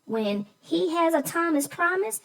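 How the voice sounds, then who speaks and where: High-pitched woman co-hosting story chat. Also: animated, measured pace, vocal fry, a bit bright. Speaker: co-host. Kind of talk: casual chat about a children's story.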